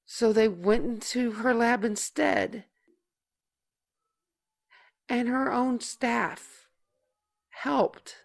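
A woman playing a tender, protective older sister in an audio drama, speaking with halting emotion. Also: fairly high pitch, gravelly, full character voice. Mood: tender, nervous.

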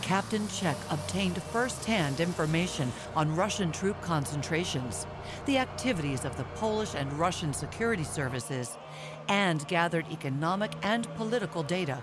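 A woman reading a scripted documentary narration in a measured, formal way. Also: on the low side, expressive, noisy background. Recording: voice-over with music bed.

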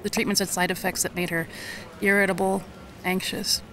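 An actress playing a gentle, remorseful woman in an audio drama, speaking in a halting, reflective way. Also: mid-range voice, little pitch movement, slight background noise, lightly acted, low-quality recording. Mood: wistful, regretful. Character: remorseful woman recalling a sick little girl, gentle. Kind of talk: audio drama acting, conversational.

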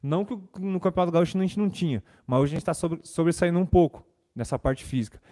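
Young man with a mellow voice, press room. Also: on the high side, fast. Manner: casual, repeats words and fillers. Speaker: footballer at a press conference. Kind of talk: press conference answers. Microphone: desk microphone.

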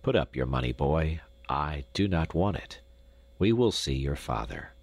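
A man voicing a stern, gruff old doctor who speaks curtly. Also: a bass voice, lively intonation, a little slow, dry recording, pitched below the performer's own voice, heavily acted. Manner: gruff, curt.

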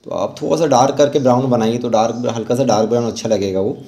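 Man, mid-pitched, dark relaxed tone, art instructor, informal tutorial narration, halting delivery.

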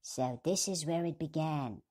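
A woman reading a fairy tale aloud, putting on the loud, strained voices of urgent little dwarf men. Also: low-pitched, unhurried. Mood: musing. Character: gruff small male dwarves, urgent and blunt.

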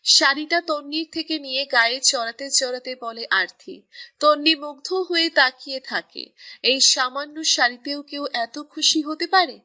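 A woman narrating a scripted romance story in an even storytelling manner. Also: high voice, a little slow.

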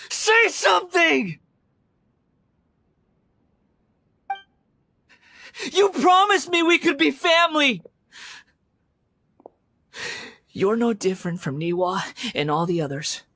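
Theatrical, volatile young wanderer. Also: male voice, very high-pitched, sharp tone, no echo, heavily acted. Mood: distraught. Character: scornful, volatile young puppet man turned wanderer. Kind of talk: anime game voice acting. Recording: game music underneath.

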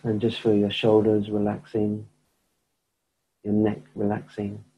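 A low, husky-voiced man leads a guided meditation. He speaks slowly and flatly, leaving long pauses between phrases. His tone is mellow and level throughout. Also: muffled recording. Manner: slow, soft-spoken, pausing often. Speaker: meditation teacher.

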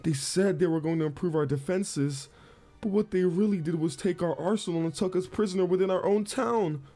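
A man's voice: high voice, fairly quick, dark tone. A man voicing a frightened, timid dog guard, nervous and hesitant, in a comic dub. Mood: resigned, worried. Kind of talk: amateur comic dub acting. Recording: music behind the voice.

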